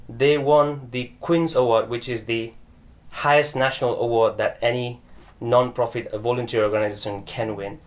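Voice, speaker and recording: A man hosting a podcast, reading a formal introduction and then chatting with his guest, with Nepali phrases mixed in. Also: medium pitch. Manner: friendly, mixes in Nepali phrases. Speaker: podcast host. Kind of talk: scripted intro then casual interview.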